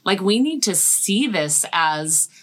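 A woman's voice: medium pitch, big pitch swings, slow. A woman talking reflectively in a casual devotional discussion, conversational with frequent fillers.

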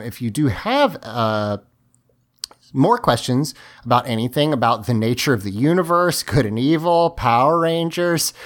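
Man losing his voice, talking emphatically. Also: mid-range voice. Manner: emphatic, talkative. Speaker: podcast host. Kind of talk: casual podcast discussion.

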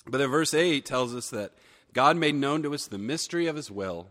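A man preaching a sermon, a little hoarse from a cold, earnest and building emphasis on key phrases. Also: medium pitch, expressive, normal speed, a bit bright, in a large hall. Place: church sanctuary.

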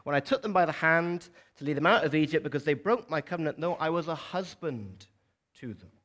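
A young man with a South Welsh accent gives a sermon, a touch higher than most men. He talks in a loose, conversational way and turns aside to the congregation now and then. The sound is a church service. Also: lively intonation, brisk, fairly bright tone. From South Wales. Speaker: preacher.

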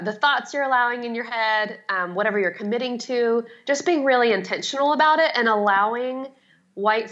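A woman's voice: medium pitch, fairly bright tone, muffled recording. A middle-aged woman talking casually as an expert guest in an interview, with a chatty, emphatic, self-deprecating way of explaining. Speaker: guest, home organizing expert.

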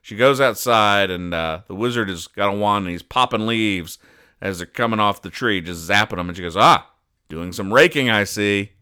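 This is a man with a fairly low, forceful and clean-sounding voice. He delivers comedic commentary as a podcast host. His manner is deadpan and mock-serious, with halting asides as he explains. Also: clear, resonant tone. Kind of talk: deadpan comedic commentary, recapping comic strips.

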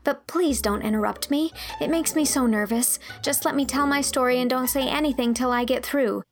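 A woman's voice: high voice, moderate pace, faint music behind, voice pushed up. A woman voicing an earnest, impulsive sixteen-year-old girl in an audiobook, speaking politely and confessionally. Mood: nervous pleading. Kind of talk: audiobook character dialogue.

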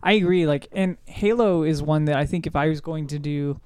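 A man's voice: a little high, fairly quick, darkish tone, clear, resonant tone, no room sound. A man chatting as a podcast panelist in a casual, conversational group discussion.

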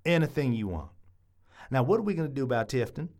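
Man playing an earnest suitor, swooping pitch. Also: big pitch swings, quick pace, darkish tone, lightly acted. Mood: delighted, eager. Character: earnest, lovestruck Southern suitor, protective and eager. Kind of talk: audio drama acting.

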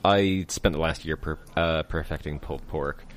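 A man's voice: very deep voice, fairly even intonation, moderate pace. A man with a Midwestern American accent hosting a podcast interview, speaking hesitantly with frequent ums and restarts. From Kansas, United States. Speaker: podcast host. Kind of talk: casual interview chat.

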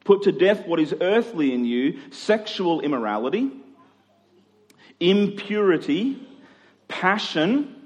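A man's voice: high-pitched, sing-song, takes it slowly, long pauses. A middle-aged man preaching in a conversational expository style, using rhetorical questions and emphatic repetition. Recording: long hall reverb.

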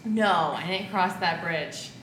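A woman's voice: on the low side, slow pace, fairly bright tone. A woman chatting casually on a group podcast. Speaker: podcast intern guest. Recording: echoey room with faint noise.

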